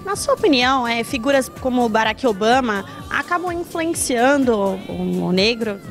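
A young woman reporter with a high voice asks her questions briskly. She holds a handheld mic in a large hall, with faint music behind her. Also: animated.

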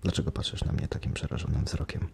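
A man voicing a menacing, unhinged male monster who speaks in a low, brooding, coldly tender way. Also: fast talker, darkish tone, slight background noise, close to an everyday voice. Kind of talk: audiobook character voice.

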